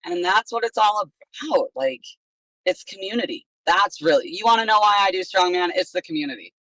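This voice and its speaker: A young woman with a deep voice that is raspy from shouting, talking loudly and eagerly with lots of "like" as an interview guest. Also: animated, fairly quick, no room sound, low-quality recording. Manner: loud, enthusiastic, lots of "like". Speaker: strongman athlete guest. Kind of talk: casual interview chat.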